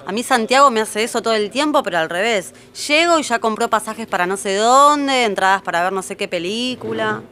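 A woman's voice: a little high, animated, brisk, few pauses, pushed and bright, slight background noise. A woman playing a sympathetic mom friend, commiserating casually in an audio drama. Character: sympathetic mom friend griping along about her own husband.

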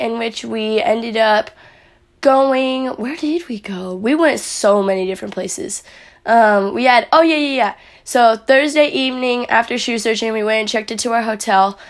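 A young woman talks casually into the camera and recaps her week as a vlogger and athlete. Her voice sounds raspy and hoarse after days of yelling while coaching. She rambles along in a friendly way, using many fillers. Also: on the high side, measured pace.

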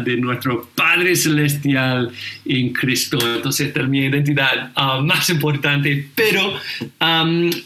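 Man, bright voice in an echoey room. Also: fairly high pitch. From England. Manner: hesitant, many fillers and restarts. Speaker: seminary teacher, guest. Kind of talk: casual conversation.

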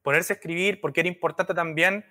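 A man's voice: on the high side, steady tone, quick pace, bright, forceful tone. A man hosting an interview, speaking hesitantly and searching for words. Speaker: podcast host, brand strategist. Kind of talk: conversational interview.

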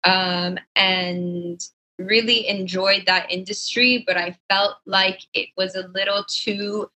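Bright-voiced young woman chatting. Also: mid-range voice, steady tone, takes it slowly, poor audio. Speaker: podcast guest, fashion designer. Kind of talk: casual conversational interview answers.

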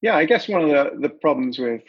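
Husky British man, muffled call audio. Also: on the high side, animated. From United Kingdom. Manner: halting, restarts often, friendly. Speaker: interview host. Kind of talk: casual interview questions.

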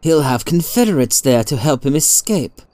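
A man voicing a sharp, thoughtful detective in a storybook, with a formal, incisive way of speaking. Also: medium pitch, sing-song, moderate pace, no room sound, lightly acted. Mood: musing.

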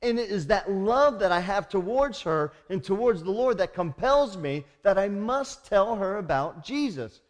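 A man's voice: sits high, expressive, moderate pace, hardly any pauses. A man preaching a sermon as a pastor, emphatic, often putting rhetorical questions to his congregation.

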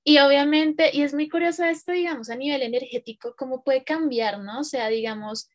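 A woman's voice: high voice, a little fast. A woman talks in a casual, reflective way, thinking aloud and rephrasing as she goes. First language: Spanish. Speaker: conversation partner asking questions.

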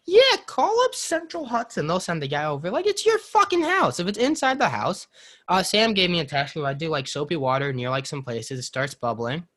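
A man's voice: fairly high pitch, big pitch swings, a little fast, a bit bright. A young American man chatting casually, swearing freely and ranting. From United States. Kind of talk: casual chat.

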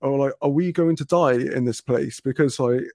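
A man's voice: mid-range voice, a little fast, creaky, warm tone, dry recording, clean studio sound. A man from Wales, telling rambling anecdotes in a casual chat, often restarting and laughing.

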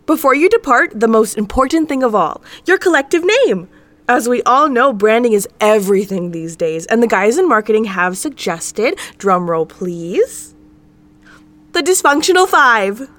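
A woman's voice: a little high, big pitch swings, unhurried, fairly bright tone, no room sound. A woman playing a gushing, cheesy team motivator obsessed with branding in a comedic audio drama. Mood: peppy, cajoling. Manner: gushing, peppy.

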